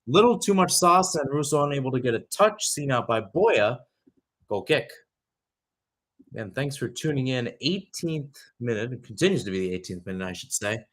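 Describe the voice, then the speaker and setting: Young man calling soccer with lively pitch swings. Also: normal speed. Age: young adult. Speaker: radio play-by-play commentator. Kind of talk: live soccer play-by-play commentary with analysis.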